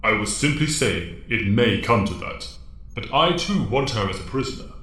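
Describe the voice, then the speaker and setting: An actor playing a solemn, authoritative mentor to young heroes in an audio drama, speaking formally and precisely. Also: male voice, on the low side, very animated, normal speed, a bit bright. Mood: grave, formal. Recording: echoey, reverberant voice.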